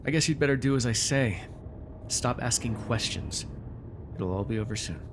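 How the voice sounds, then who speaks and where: A man playing a controlling, vengeful supervillain in an immersive ASMR roleplay, taunting and giving orders in a deliberate, mocking way. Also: medium pitch, animated, background noise, close to an everyday voice. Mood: cold, menacing. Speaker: voice actor.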